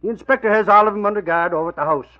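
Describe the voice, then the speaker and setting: An actor playing a folksy, excitable male reporter who talks in colloquial slang, in 1940s radio drama style. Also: high voice, racing, lightly acted. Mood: earnest. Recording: dull old radio recording.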